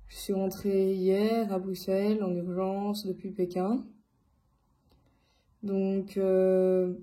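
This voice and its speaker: Woman from Belgium, mellow voice, slow and halting, casual personal vlog storytelling. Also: mid-range voice. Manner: hesitant, halting delivery with frequent long filled pauses. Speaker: vlogger addressing followers.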